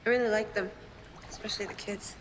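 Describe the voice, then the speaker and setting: An actress in a series clip, speaking quiet, confiding dramatic dialogue. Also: female voice, medium pitch, fairly even intonation, quick pace, a little hiss or hum, close to an everyday voice, low-quality recording. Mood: wistful.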